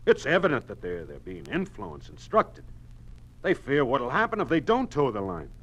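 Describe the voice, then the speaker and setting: Anxious American office manager, middle-aged, halting and agitated, swinging intonation, 1950s radio drama. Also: male voice, mid-range voice, wildly swooping pitch, fairly bright tone, heavily acted, low-quality recording. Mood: agitated, grave. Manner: halting, agitated delivery, repeating words. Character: distraught middle-aged husband, anxious plant manager named Elliot.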